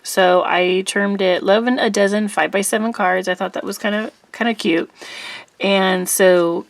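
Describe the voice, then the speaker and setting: A middle-aged woman hosting a crafting channel, chatting casually to camera in a rambling way with little laughs and ums, her voice slightly rough from allergies. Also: mid-range voice, fairly even intonation, normal speed, no room sound.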